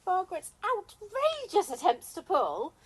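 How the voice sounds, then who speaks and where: A woman's voice: very high voice, wildly swooping pitch, measured pace, exaggerated voice. A woman playing a teasing, theatrical, gossipy British tennis club member in an amateur radio comedy. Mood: mocking, amused.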